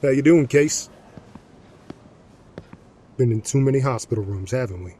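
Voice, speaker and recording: An actor playing a brawny middle-aged gang enforcer and reformed drinker, talking in a folksy, swaggering way in an audio drama. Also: male voice, mid-range voice, moderate pace, mellow, slight background noise, natural voice. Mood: earnest, subdued.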